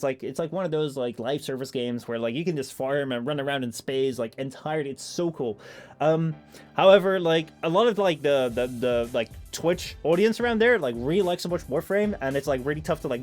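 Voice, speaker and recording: A man's voice: on the high side, a little mellow. A young man with a Dutch accent chatting casually on his stream, rambling with lots of fillers and swearing. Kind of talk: casual stream chat, reading out chat messages.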